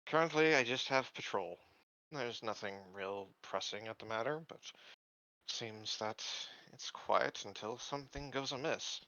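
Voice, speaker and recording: Formal male security recruit, played by a man at a game table: bright, close and dry, deferential, measured delivery. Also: medium pitch, no room sound, lo-fi sound. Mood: polite, businesslike. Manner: measured, formal phrasing with hesitations. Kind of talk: improvised in-character roleplay.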